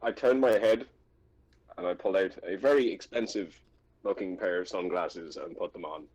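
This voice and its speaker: A man's voice: low voice, moderate pace, a little mellow, muffled recording. A man playing a tabletop roleplaying game, casually describing his character's actions.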